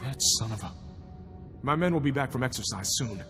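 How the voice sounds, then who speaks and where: An actor playing a gruff, weary and practical military commander in a video game, brisk and blunt. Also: male voice, medium pitch, lively intonation, long reverb tail, over loud music, close to an everyday voice. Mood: anxious. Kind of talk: video game cutscene acting.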